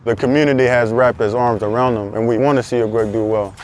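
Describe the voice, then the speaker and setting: Earnest young man, middle pitch. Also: fairly even intonation, fast, darkish tone, soft background music. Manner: earnest, repeats words for emphasis. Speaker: basketball program founder. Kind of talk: documentary interview.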